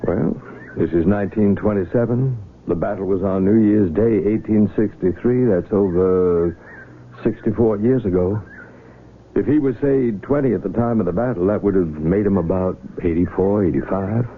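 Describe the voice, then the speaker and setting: An actor playing a sober, thoughtful doctor who explains things in a measured way in a radio drama. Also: male voice, lowish voice, lightly acted. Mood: sober, reflective. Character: matter-of-fact male doctor, sober and thoughtful. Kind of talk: 1970s radio drama dialogue. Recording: narrow-band old radio, faint noise.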